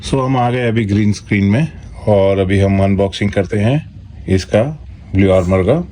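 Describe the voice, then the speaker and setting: A man with an Indian accent giving a casual, step-by-step product walkthrough in short, halting phrases. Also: fairly low pitch, fairly even intonation, dark tone. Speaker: product reviewer and vlogger. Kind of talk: casual unboxing walkthrough, Hindi mixed with English. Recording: noticeable background noise.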